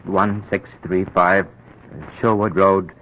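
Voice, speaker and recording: An actor playing a nervous husband hiding a crime, stammering and flustered in a 1940s radio drama. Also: male voice, low voice, fairly even intonation, slow, slight background noise. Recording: muffled old radio broadcast.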